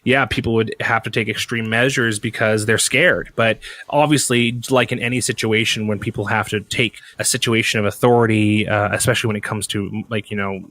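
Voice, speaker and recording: A man's voice: fairly low pitch, fairly even intonation, brisk, few pauses, a bit bright, no room sound. A man chatting casually as he brainstorms on a podcast, rambling and swearing freely. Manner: rambling, profane. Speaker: podcast guest.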